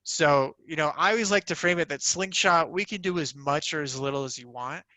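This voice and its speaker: A man's voice: on the high side, a little fast, bright, forceful tone, no echo. A man giving an informal, upbeat sales presentation as a company representative, conversational and peppered with "you know".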